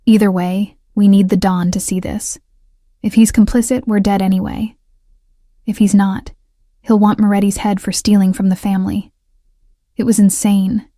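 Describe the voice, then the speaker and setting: A woman's voice: medium pitch, little pitch movement, moderate pace, warm tone, no room sound, clean studio sound, lightly acted. A woman voicing a tense, decisive male mafia man who speaks in short, firm sentences. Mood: determined. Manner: terse and decisive.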